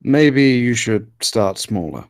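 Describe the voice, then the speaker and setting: Wry, slow-talking joker, played by a man. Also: mid-range voice, lively intonation, no room sound, studio quality. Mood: teasing. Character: joking, laid-back workmate, amused and teasing. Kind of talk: film script table read, naturalistic dialogue.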